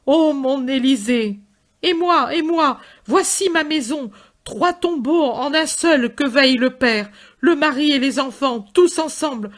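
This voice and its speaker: A woman's voice: high-pitched, unhurried, voice pushed up. A woman voicing a bereaved mother who wails shrilly and desperately over her dead children. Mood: anguished.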